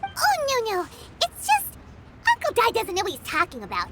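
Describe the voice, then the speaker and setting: A woman voicing a tiny, cheeky, childlike fairy girl, blunt and excitable, in a video game. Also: very high-pitched, normal speed, very noisy, full character voice. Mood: indignant, boastful. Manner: refers to self in third person, blunt, excitable. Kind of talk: animated video game character acting.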